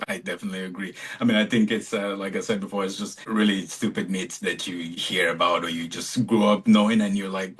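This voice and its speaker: Husky-voiced man hosting a casual interview. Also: lowish voice, a bit bright. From Cameroon. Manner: casual, chatty, lots of fillers. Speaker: podcast host.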